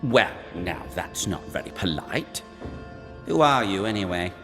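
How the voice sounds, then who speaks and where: A theatrical, flirtatious ghost, echoing. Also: male voice, low-pitched, sing-song, measured pace, full character voice. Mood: flirtatious, teasing. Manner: theatrical, singsong, repeating phrases. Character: flirtatious, deluded, sickly ghost of a lovesick gentleman. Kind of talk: video game voice acting. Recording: long echoing reverb, music underneath.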